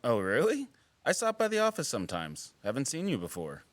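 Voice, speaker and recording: Guarded wildlife biologist, dry teasing wit, expressive delivery, close dry sound, naturalistic audio drama. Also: male voice, medium pitch, a little fast, dry recording. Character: wry, guarded wildlife biologist, earnest about protecting habitat.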